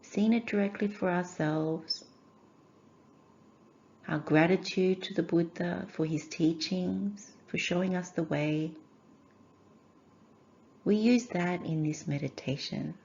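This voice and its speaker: A woman teaching a guided meditation in measured, gentle phrases with short pauses. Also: fairly low pitch, vocal fry, highs cut off.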